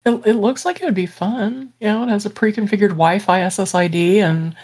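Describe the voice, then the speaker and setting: A woman's voice: medium pitch, fairly quick, darkish tone. A woman co-hosting a podcast, reading news items plainly and making dry joking asides. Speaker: podcast co-host.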